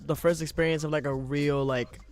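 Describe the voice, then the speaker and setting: A man's voice: fairly high pitch, a little fast. An eighteen-year-old man from a boy band, telling stories casually in a radio studio. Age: teens. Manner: relaxed storyteller. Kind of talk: casual interview chat.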